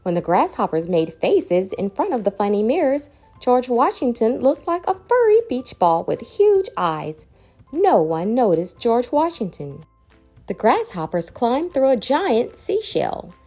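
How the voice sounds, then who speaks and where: A woman narrates a children's picture book. Her delivery is very animated, with pitch that rises and falls for dramatic emphasis. Music accompanies the reading. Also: measured pace. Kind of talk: expressive storybook read-aloud.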